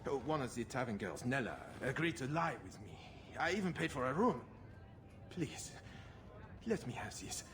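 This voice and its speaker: A man's voice: medium pitch, big pitch swings, normal speed, a little hiss or hum. An actor playing a naive, hapless runaway mage in his twenties in a video game, nervous and stammering, with boyish bluster. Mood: pleading. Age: 20s. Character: hapless, naive young runaway mage, would-be ladies' man.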